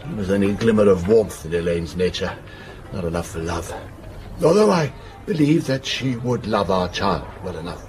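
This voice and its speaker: An actor playing an anxious, asthmatic businessman in his fifties in a radio drama, halting, earnest and short of breath. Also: low-pitched, animated, darkish tone. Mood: confessional, earnest. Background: music.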